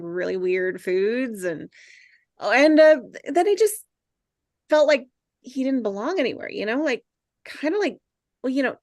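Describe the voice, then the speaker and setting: A woman's voice: medium pitch, dry recording, clean studio sound, natural voice. A woman playing an earnest, nerdy teenage boy in a table read, hesitant and rambling as he tries to fit in.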